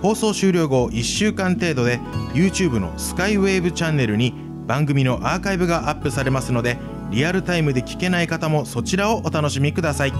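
Male radio co-host, fairly high for a man, announcing and chatting over music. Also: lively intonation, brisk, few pauses. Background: background music.

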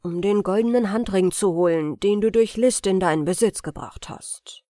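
A woman's voice: mid-range voice, normal speed, mellow, no room sound, studio quality, close to an everyday voice. Woman playing a bold, declaiming young boy hero. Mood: resolute. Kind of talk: fairy-tale audiobook reading.

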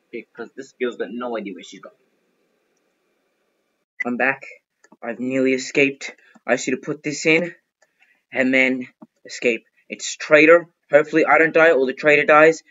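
A man hosts a gaming video in a bright, forceful voice at a middling pitch. His commentary is casual and informal, as if chatting to viewers from his computer. The sound is a little dull and lo-fi.

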